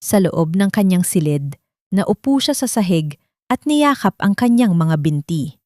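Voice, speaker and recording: A woman's voice: fairly low pitch, very animated, a little slow, a little mellow, no echo, studio quality. A woman reading a dramatic scripted story as an audiobook narrator.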